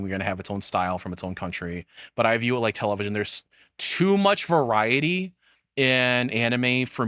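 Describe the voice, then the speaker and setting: A man's voice: on the low side, a bit bright, smooth, no room sound, studio quality. Rambling man giving casual reaction commentary. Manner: rambling, thinking aloud, casually profane. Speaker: streamer reacting to a video.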